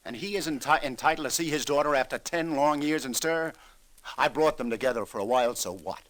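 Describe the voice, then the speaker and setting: An actor playing a kindly, wry police lieutenant with an informal, insistent manner in an old radio drama. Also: male voice, mid-range voice, fairly quick, fairly bright tone, natural voice. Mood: defensive. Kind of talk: 1940s-50s radio drama acting. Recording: old radio recording with music behind.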